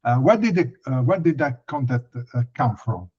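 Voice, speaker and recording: A man hosting a podcast interview, putting prepared questions in a halting, hesitant delivery. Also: mid-range voice, lively intonation, darkish tone, husky, highs cut off.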